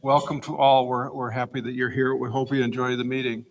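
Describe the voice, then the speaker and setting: A man's voice: medium pitch, level tone, fairly quick, rough recording. A man chairing a club meeting, welcoming guests one by one. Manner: welcomes guests warmly, brief. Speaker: Rotary club president. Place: large meeting hall.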